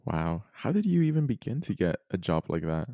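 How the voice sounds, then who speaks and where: Deep-voiced teenage podcast host. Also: male voice, big pitch swings, a little fast, dark tone, no echo, clean studio sound. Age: teens. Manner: friendly, filler-heavy. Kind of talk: podcast interview.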